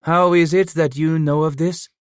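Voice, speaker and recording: A man's voice: a little high, steady tone, moderate pace, smooth, no room sound, clean studio sound, pitched above the performer's own voice, natural voice. A man voicing an old, wealthy Frenchman, speaking in a tired, hesitant and formal way. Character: old rich Frenchman, weary, cautious.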